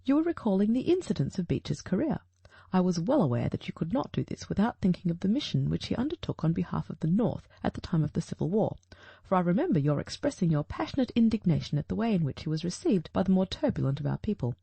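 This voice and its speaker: A woman's voice: fairly low pitch, very animated, fast talker, gravelly, warm tone, husky, no room sound, full character voice. A woman reading the part of a shrewd, self-assured male detective in an audiobook, precise and formal as if teaching. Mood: explaining calmly.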